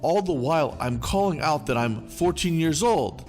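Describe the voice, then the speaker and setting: Male storytelling host, animated pitch, mid voice, soft music under the read. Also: moderate pace. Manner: lively, expressive reading. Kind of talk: scripted narration of online stories. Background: faint background music.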